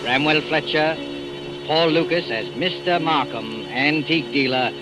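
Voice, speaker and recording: An actor playing a mysterious, sinister male host, announcing formally and deliberately in a dramatic 1940s radio style. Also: a little high, natural voice, poor audio. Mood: ominous, formal. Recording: vintage 1940s radio broadcast over music.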